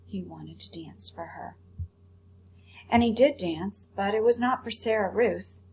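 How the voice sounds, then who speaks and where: A woman reads a children's story aloud in the manner of a teacher, adding commentary for her pupils. Her voice sits at a middle pitch. A faint hum runs behind the recording. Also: unhurried, poor audio. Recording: clear background hum and noise.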